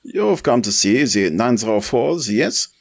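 A man's voice: medium pitch, very animated, unhurried, creaky, voice pushed up, heavily acted. A man voicing a commanding German car dealer of about forty, with a German accent and a curt, domineering drill-sergeant manner.

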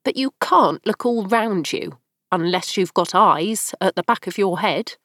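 A woman's voice: mid-range voice, a little slow, a bit bright, dry recording, studio quality. A woman voicing a brusque, matter-of-fact old sheep shopkeeper in a storybook.

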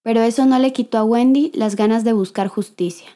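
A woman giving measured, scripted documentary narration as the host. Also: on the high side, dark tone.

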